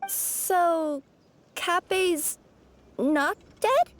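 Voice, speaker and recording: A woman's voice: very high voice, expressive, extremely slow, slight background noise, full character voice. A woman playing a young, innocent, anxious girl in a fantasy game, with a wide-eyed, hesitant delivery. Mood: puzzled. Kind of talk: video game voice acting.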